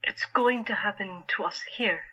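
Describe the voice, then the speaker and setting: A woman's voice: medium pitch, normal speed, sharp tone, husky, muffled recording. A woman playing a troubled, resigned young female asylum patient and artist in an audio drama. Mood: haunted.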